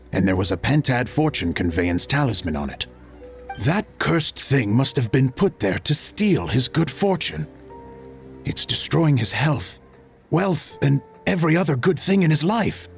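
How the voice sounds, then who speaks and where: A man's voice: medium pitch, sing-song, normal speed, creaky, faint music behind. A man playing a fussy, superstitious gossip in a video game, speaking in an effusive, dramatic manner. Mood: alarmed gossip. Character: fussy, theatrical, superstitious male opera-loving gossip.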